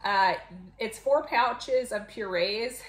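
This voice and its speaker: Mom vlogger chatting away to camera in her kitchen, kinda echoey, clear background noise and clicks. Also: on the high side, takes it slowly. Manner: chatty, explanatory. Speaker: vlogger, mother showing a grocery haul. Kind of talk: casual chat to camera. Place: home kitchen, indoors. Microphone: camera a few feet away.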